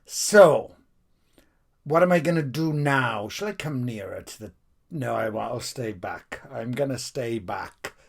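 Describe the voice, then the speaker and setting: Slow-talking Welsh man rambling to camera. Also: medium pitch, lively intonation, creaky, slightly rough. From Wales. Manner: chatty and theatrical, talks to the viewer, steps away from the mic. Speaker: music fan vlogger. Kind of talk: rambling off-the-cuff monologue.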